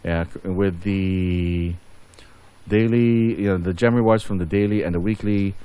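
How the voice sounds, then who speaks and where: A man's voice: sits low, fairly even intonation, a little slow, mellow, smooth, dry recording. A man giving casual game update commentary as a channel host, rambling and thinking aloud as he goes.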